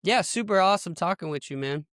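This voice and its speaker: A middle-aged American man hosts a podcast in a loose, slangy, conversational style. His voice is smooth and clean, pitched a bit high for a man. The sound is dry, without room echo. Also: expressive, fast, a bit bright. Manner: informal, slangy. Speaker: podcast host. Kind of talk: casual podcast chat.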